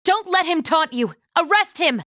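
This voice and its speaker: Urgent, high-voiced commanding knight. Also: female voice, expressive, normal speed, background noise. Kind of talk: dramatic video game voice acting.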